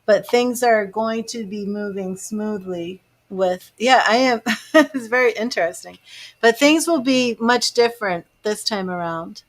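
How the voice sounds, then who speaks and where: A woman's voice: mid-range voice, measured pace. A woman giving live tarot readings, chatting to viewers by name and musing over the cards. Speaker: tarot reader.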